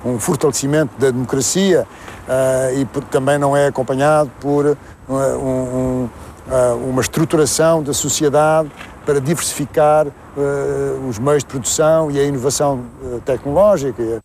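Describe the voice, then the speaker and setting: A man's voice: medium pitch, steady tone, normal speed, a little mellow. Hesitant man, faint background noise. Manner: hesitant, tag questions. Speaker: interviewee. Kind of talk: explanatory interview answer.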